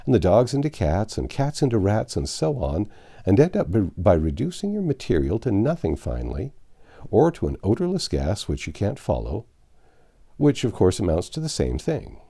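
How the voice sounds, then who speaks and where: A man's voice: on the low side, wildly swooping pitch, moderate pace, dark tone, husky, heavily acted. A man reading a storybook part as a confident, wry American man who explains things with assurance. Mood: patiently explaining.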